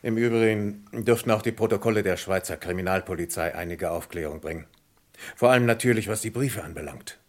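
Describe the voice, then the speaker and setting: Police commissioner in an old radio crime play, lowish voice, sceptical, measured and formal. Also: male voice. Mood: matter-of-fact, hesitant. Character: sceptical, methodical male French police commissioner. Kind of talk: German radio crime drama acting.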